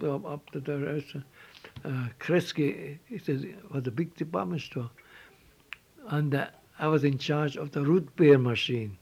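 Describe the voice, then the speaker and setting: An older man from Switzerland with a Swiss German accent, telling his life story in halting English with repeated words and restarts. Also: fairly high pitch, gravelly, darkish tone, breathy edge. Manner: halting, repeats words, non-native phrasing. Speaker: interviewee.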